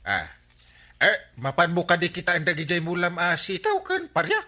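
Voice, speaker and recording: A man's voice: sits high, huge pitch swings, normal speed, pushed and bright, heavily acted. A man with an Ilocano Filipino accent playing a folksy, exasperated village captain in a radio comedy drama, with hesitant interjections. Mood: teasing, animated.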